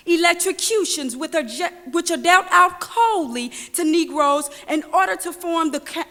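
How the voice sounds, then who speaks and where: A woman playing an impassioned, defiant activist giving a deliberate, declamatory political address on stage. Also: sits high, a bit bright. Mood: grave, accusing. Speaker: political speaker. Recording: long hall reverb.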